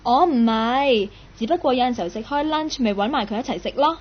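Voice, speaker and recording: High-pitched curious young Cantonese woman played by an actress. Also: animated, a little fast, highs cut off, natural voice. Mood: polite, casual. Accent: Hong Kong Cantonese. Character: curious, friendly young woman, part-time worker, nicknamed Meow. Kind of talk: radio drama acting with first-person narration.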